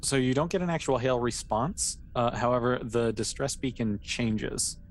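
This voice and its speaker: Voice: medium pitch, moderate pace. Easygoing game master over background noise. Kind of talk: improvised tabletop sci-fi game narration.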